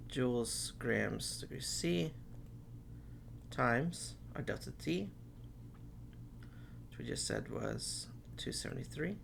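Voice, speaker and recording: Gravelly-voiced man losing his voice. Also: medium pitch, slight background noise. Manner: talks through problems step by step, saying okay often. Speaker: chemistry teacher. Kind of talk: recorded classroom lecture.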